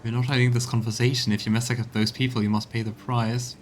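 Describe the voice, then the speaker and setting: A man's voice: mid-range voice, level tone, fast talker, slight background noise, close to an everyday voice. A man voicing an earnest, principled adventuring bard in role-played video game dialogue, reasoning firmly.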